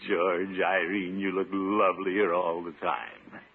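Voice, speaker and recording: A man's voice: low voice, level tone, takes it slowly, husky, heavily acted. A man playing a pompous, self-important middle-aged uncle and town official in a blustery, chuckling 1950s radio comedy style. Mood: flattering. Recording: muffled, noisy 1950s radio recording.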